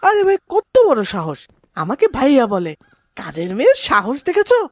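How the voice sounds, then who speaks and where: A woman's voice: high-pitched, huge pitch swings, moderate pace, no echo, full character voice. A woman voicing a scornful young schoolboy, a rude older brother, in a children's cartoon. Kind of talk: children's moral cartoon voice acting.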